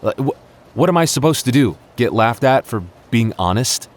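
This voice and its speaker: A man's voice: mid-range voice, expressive, normal speed, close to an everyday voice. A man playing a defensive, teasing young childhood friend with a secret crush, stammering and bickering in an intimate boyfriend roleplay. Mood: flustered, earnest. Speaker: ASMR roleplay voice actor.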